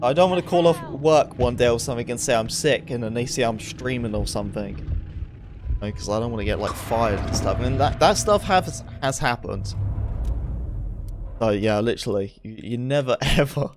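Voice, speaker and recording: A man's voice: medium pitch, moderate pace, music in the background. A young man, a game streamer, chatting casually and rambling with lots of fillers while he plays. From England. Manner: rambling, with many fillers and acted asides. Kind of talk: casual rambling chat while gaming live. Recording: game gunfire loud behind voice. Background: video game gunfire and vehicles.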